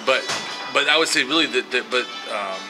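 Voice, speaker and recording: A man with a low voice in casual conversation, often restarting his sentences. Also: over loud music, rough recording. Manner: halting, many restarts. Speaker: host.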